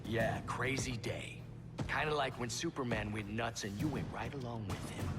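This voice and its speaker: An actor playing a bitter, cynical antihero in a video game, speaking sardonically. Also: male voice, mid-range voice, moderate pace, over loud music, natural voice. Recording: game cutscene over loud score.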